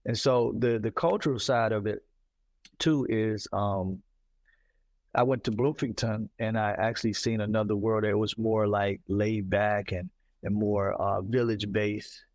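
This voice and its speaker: A man from Mississippi, telling stories in an animated casual conversation and often repeating himself. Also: lowish voice, measured pace, no room sound. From Mississippi, United States. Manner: animated storyteller, repeats words, says "you know what I'm saying". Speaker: podcast host.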